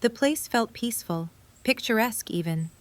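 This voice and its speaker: A woman's voice: a little high, expressive, moderate pace. A woman reading scripted story narration for an audio drama.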